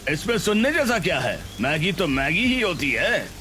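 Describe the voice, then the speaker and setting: A man's voice: high voice, sing-song, moderate pace, pushed and bright, slight background noise, full character voice. A man voicing a wary but fair-minded male food-stall customer in a cartoon. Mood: doubtful. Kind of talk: cartoon dialogue acting. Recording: background music under voice.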